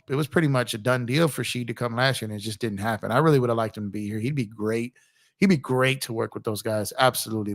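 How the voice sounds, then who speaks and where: A man hosting a casual basketball livestream, a little hoarse from losing his voice, chatty and rambling as he talks to his viewers. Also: medium pitch, a bit bright, no echo, clean studio sound. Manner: chatty, rambling with restarts, speaks to chat viewers. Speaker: YouTube basketball streamer.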